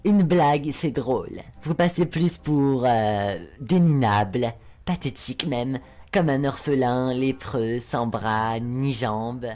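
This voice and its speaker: A woman's voice: very deep voice, slow, warm tone. A woman voicing a crude, flamboyant male spider demon with a sarcastic, lewd, mocking drawl in a fan dub. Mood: sneering.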